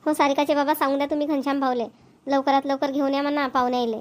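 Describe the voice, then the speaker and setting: A woman's voice: high-pitched, level tone, racing, close to an everyday voice. A woman voicing a caring, persuasive middle-aged village mother, with a coaxing, motherly manner.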